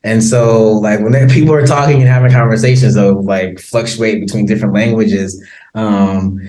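An American man chatting casually as an interview guest, laughing often and filling pauses with ums and likes. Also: lowish voice, fairly even intonation, mellow, roomy sound, poor audio. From United States. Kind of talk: casual conversation.

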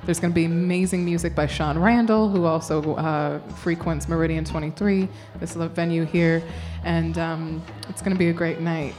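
A woman's voice: deep voice, steady tone, moderate pace, few pauses, darkish tone, soft background music. A young woman, an actor guest, talking casually in a radio interview and laughing often. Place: storefront music bar.

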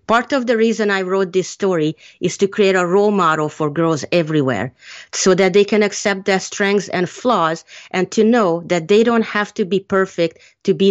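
A woman from Hungary, an author answering interview questions in a measured, earnest way. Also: mid-range voice. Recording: muffled lo-fi sound.

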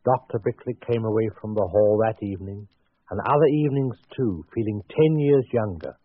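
An actor playing a wry, theatrical mystery storyteller, narrating deliberately in 1940s radio style. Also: male voice, lowish voice, lightly acted. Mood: wry storytelling. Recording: muffled vintage 1940s radio broadcast with music.

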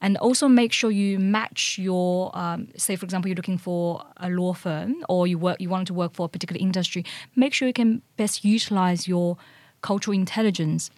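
A woman's voice: lowish voice, steady tone, fairly quick, darkish tone, no room sound, clean studio sound. A woman in her thirties whose first language is Mandarin Chinese, a lawyer giving earnest, thoughtful advice with many fillers in a podcast interview. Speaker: lawyer and guest.

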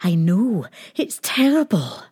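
A woman's voice: on the high side, sing-song, takes it slowly, a little mellow, voice pushed up, full character voice. A woman voicing a cunning, scheming wicked queen in a storybook fairy tale, putting on false concern. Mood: feigned worry.

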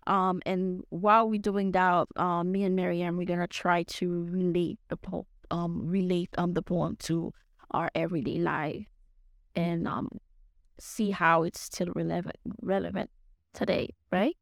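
A woman's voice: fairly low pitch, level tone, a little slow, vocal fry, dry recording, clean studio sound. A young woman with a French accent hosting a podcast, talking in a hesitant way with many fillers and restarts. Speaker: podcast host. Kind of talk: casual podcast chat.

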